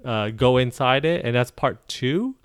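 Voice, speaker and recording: In a rich style: a man chatting on a podcast at a middling pitch. His voice is smooth and clean. It sits close and dry on the mic, and he stops and restarts as he goes. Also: animated, no echo. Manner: halting, recounting with many restarts. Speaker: podcast co-host. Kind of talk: casual chat.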